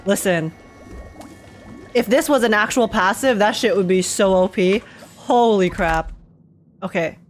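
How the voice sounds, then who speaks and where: A woman's voice: mid-range voice, unhurried, pauses often, faint music behind. A woman streamer giving casual, emotional live commentary on a game in loose fannish slang.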